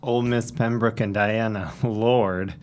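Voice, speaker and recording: A man's voice: fairly low pitch, fairly even intonation, takes it slowly, a little mellow, slight background noise. A man playing a snide small-town gossip who keeps a tea shop in a period audio drama, with a dry, arch manner.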